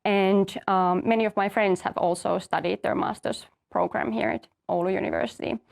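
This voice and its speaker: A young woman from Finland, a master's student, calmly explaining her path and the application steps in an info session. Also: mid-range voice, moderate pace, gravelly. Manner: orderly, explanatory, light fillers. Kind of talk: answering questions in an info session.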